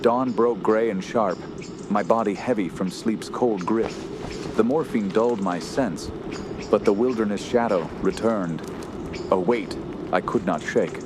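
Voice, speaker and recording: Radio horror lead, male actor: haunted young man of the wilds, low, grave, very unhurried delivery. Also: lightly acted. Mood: somber. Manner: slow, grave, solemn, halting when distressed. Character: haunted young male wilderness survivor narrating his terror. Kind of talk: dramatic radio horror narration and acting. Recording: constant outdoor ambience noise behind voice.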